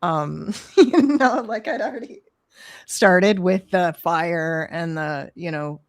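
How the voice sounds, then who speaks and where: A woman's voice: on the low side, takes it slowly, dry recording, clean studio sound. A woman hosting a live session, talking frankly and reflectively, searching for words and laughing easily. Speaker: workshop host.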